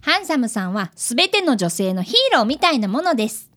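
Radio drama a boyish apprentice detective of about ten. The voice sits high and moves up and down in a lively way. He is polite, eager and childlike in how he talks. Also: a little fast. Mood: polite, chatty. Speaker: radio drama actor and narrator. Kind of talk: radio drama acting with first-person narration.

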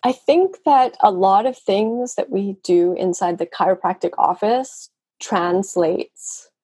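A woman's voice: mid-range voice, slow, husky, no room sound. A woman speaks as a podcast guest over a video call, in an easy, rambling conversational way with plenty of fillers. Her voice sits mid-range and sounds close and dry. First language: English. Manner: warm, conversational, many fillers and restarts. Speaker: guest, nutrition consultant.

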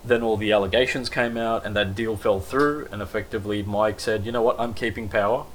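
A man with a lowish voice talks through a news recap in a loose, rambling way, leaning on fillers. A faint hum of background noise sits under him. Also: a little fast, rough recording. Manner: rambling, many fillers like basically and kind of. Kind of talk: casual news commentary.

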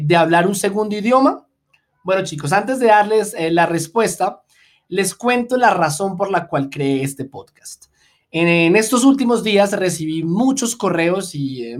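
A man hosting a solo podcast lesson for Spanish learners, talking to them in a clear, reassuring, deliberate way. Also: high voice. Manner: reassuring, often addresses listeners as "guys". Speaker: podcast host teaching Spanish.

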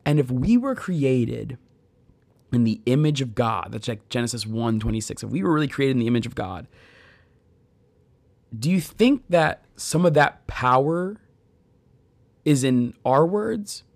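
A man's voice: medium pitch, expressive, measured pace, vocal fry, pauses often, a little mellow, no room sound. A man in his thirties giving an earnest, conversational devotional talk on scripture, speaking straight to listeners and telling personal stories. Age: 30s. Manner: addresses listeners directly.

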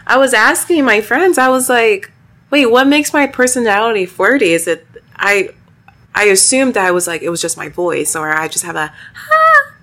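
A young woman with a high voice, a podcast guest who chats freely, laughs often and trails off mid-thought. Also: sing-song, moderate pace, bright, forceful tone. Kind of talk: casual podcast conversation.

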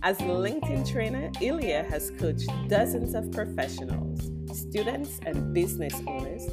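Enthusiastic woman podcast host over loud music. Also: mid-range voice, big pitch swings, moderate pace. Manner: enthusiastic, emphatic announcer delivery. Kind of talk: upbeat scripted podcast introduction and interview.

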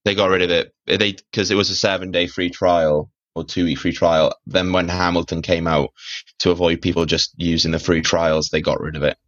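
A man's voice: deep voice, flat delivery, moderate pace, fairly bright tone, dry recording. A young man co-hosting a podcast, chatting casually and rambling with lots of fillers. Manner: rambling, filler-heavy, opinionated. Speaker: podcast co-host. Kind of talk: casual chat. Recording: dull, dry sound.

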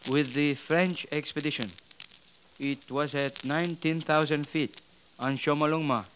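A man playing a grave, steadfast Sherpa mountain guide in a 1950s radio drama, speaking formally and with measured words. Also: fairly high pitch, fairly even intonation, fairly bright tone, lo-fi sound. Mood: grave, earnest. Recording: noisy vintage 1950s radio recording.

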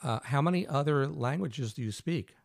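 A man's voice: a little high, expressive, normal speed, creaky, no room sound, clean studio sound. A man hosting an interview, asking questions in an upbeat, encouraging way. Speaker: podcast host.

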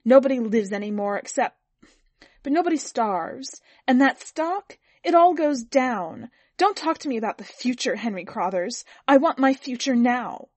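Audiobook wife, voiced by a woman narrator: higher-pitched, put-upon, earnest, pleading, recorded close and dry. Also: sing-song, normal speed, warm tone, no echo. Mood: upset, angry. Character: put-upon pregnant young wife, pleading, rising to anger. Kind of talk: dramatic audiobook character reading.

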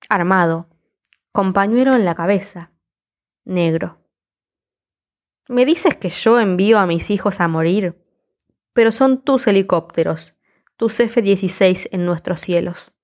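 A woman reciting spoken word poetry in a defiant, impassioned, rhythmic delivery. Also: medium pitch.

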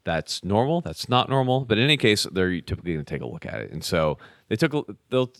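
A man who reviews firearms, talking in an animated, casual chat, swearing and walking through things step by step. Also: lowish voice, fast, dry recording, studio quality. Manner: animated, swears, says "dude", explains step by step. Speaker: gun reviewer.

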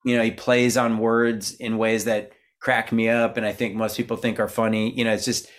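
A man's voice: lowish voice, little pitch movement, moderate pace. A middle-aged man from Minnesota, a musician guest in an interview, talking casually and rambling with many fillers and restarts. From Minnesota, USA.

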